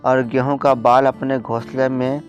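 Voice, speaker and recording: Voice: mid-range voice, level tone, measured pace, muffled recording, faint music behind. A narrator reading a scripted children's moral story.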